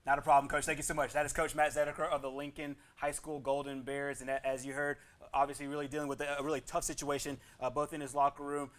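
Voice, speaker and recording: A man hosting a sports show, reading high school football scores in an emphatic announcer style. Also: level tone, fast, few pauses, a bit bright.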